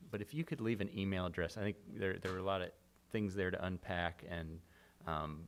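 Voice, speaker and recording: A man chairing a school board meeting, moving through procedure in a relaxed, rambling way with fillers. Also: low voice, brisk, slight background noise.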